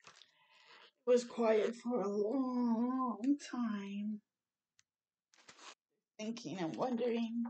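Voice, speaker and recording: A woman reading a children's storybook aloud expressively, adding small asides and dramatic, drawn-out, hushed phrases. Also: fairly high pitch, muffled recording.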